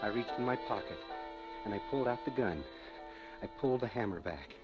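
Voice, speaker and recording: An actor playing a wry, wisecracking hardboiled detective, lovesick and dogged, in old-time radio drama. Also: male voice, medium pitch, lively intonation, brisk, natural voice. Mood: wry narration. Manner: wry, sardonic, theatrical. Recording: dull vintage radio recording, music behind.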